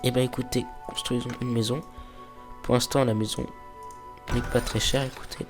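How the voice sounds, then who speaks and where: A man's voice: medium pitch, level tone, moderate pace, over music. A man with a stuffy nose from a cold, casually commenting on a mobile game he plays, hesitant and full of fillers, sometimes reading the game's dialogue aloud. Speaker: let's play host.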